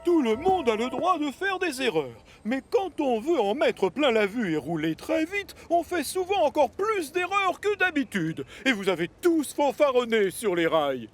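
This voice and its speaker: High, animated railway boss. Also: male voice, normal speed, few pauses. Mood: disappointed. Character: stern but fair male railway controller.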